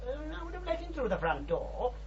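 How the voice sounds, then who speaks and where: A genteel old British butler, animated. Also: male voice, very high-pitched, quick pace, muffled recording, crowd noise, full character voice. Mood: fussy, confiding. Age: older. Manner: hesitant, courteous, gossipy. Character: genteel, fussy old retired butler, deferential and wry. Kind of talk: radio drama acting.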